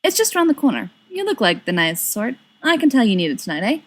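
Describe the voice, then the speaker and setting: A woman's voice: on the high side, sing-song, fast, voice pushed up. A woman voicing a friendly, chatty young man in a storybook reading, cheerful and coaxing.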